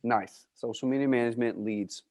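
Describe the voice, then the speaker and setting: A man with a mid-pitched voice hosts a casual interview. He talks in slang and reacts in a playful, theatrical way. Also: steady tone, brisk, a crowd in the background. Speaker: interview host, realtor.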